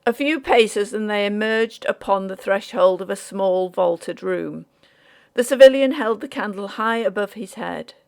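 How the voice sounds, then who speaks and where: Slow, creaky-voiced woman narrator. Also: mid-range voice, a little mellow. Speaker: audiobook narrator. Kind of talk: scripted narration of a Victorian ghost story.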